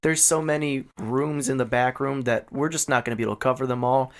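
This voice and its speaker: Male podcast co-host, average pitch, loose comedic banter, swears freely. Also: steady tone, fairly quick. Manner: profane, joking.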